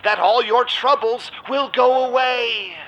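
Suave, high-pitched scheming vampire. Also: male voice, fairly even intonation, slow, sharp tone, highs cut off, exaggerated voice. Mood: falsely sympathetic. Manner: suave, theatrical, smug. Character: shady vampire businessman, smooth, scheming club owner. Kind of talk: audio drama narration and dialogue.

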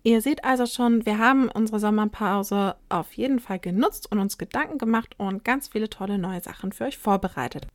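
A woman's voice: mid-range voice, brisk, hardly any pauses, dry recording. A thirty-one-year-old woman from Saxony-Anhalt, co-hosting a podcast in a friendly, casual way. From Saxony-Anhalt, Germany. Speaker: podcast co-host.

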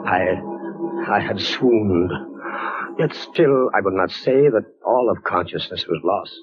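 An actor playing a fevered, frightened soldier imprisoned by the Inquisition, halting and theatrical in old radio horror style. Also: male voice, fairly low pitch, lively intonation, music in the background. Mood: haunted, dramatic. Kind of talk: 1940s radio horror acting. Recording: dull vintage radio drama recording.

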